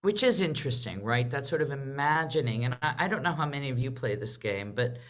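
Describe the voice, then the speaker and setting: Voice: mid-range voice, expressive, brisk, fairly bright tone. Panel moderator for an arts talk, mid-range voice, conversational, draws others in with questions. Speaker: host and moderator of a theatre discussion. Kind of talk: live panel discussion, conversational.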